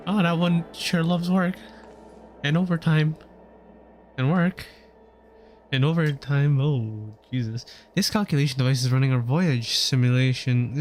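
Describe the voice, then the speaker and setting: Casting: male streamer, mid-range, halting casual commentary and read-aloud over game music. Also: medium pitch, a little mellow. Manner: hesitant, stumbling. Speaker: livestreamer. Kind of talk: casual game commentary and live reading of story text.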